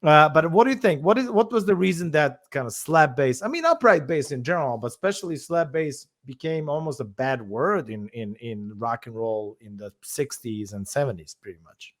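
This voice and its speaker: A man host with a Serbian accent, asking questions in a halting way with frequent restarts. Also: on the high side, animated, dry recording. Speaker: podcast host. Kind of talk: casual interview questions.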